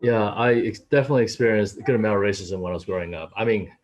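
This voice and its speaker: A man's voice: on the low side, very fast, highs cut off. A man in his late thirties from Taiwan, telling his own experiences on a video call, his voice jumping up when he quotes people. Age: late 30s. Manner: animated storytelling, voice jumps up when quoting. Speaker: panelist. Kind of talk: casual discussion on a video call. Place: home room. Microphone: laptop mic on video call.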